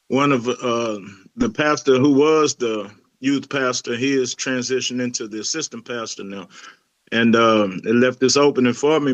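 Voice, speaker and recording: A man's voice: medium pitch, fairly bright tone, no room sound, low-quality recording. A middle-aged man, a youth pastor, talking casually in an interview, laid-back, with many fillers and restarts. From Lebanon.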